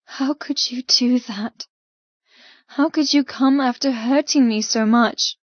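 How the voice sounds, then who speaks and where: A woman's voice: on the high side, takes it slowly, no room sound, lo-fi sound. A woman voicing a hurt but defiant young female captive in an audiobook, pained and emotional. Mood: accusing, wounded. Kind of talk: audiobook character dialogue.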